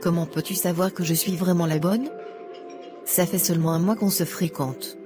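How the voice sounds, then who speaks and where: A woman's voice: lowish voice, steady tone, moderate pace, music in the background, natural voice. A woman voicing a devout, insecure single woman in her thirties, earnest and guarded, in a cartoon. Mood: doubtful.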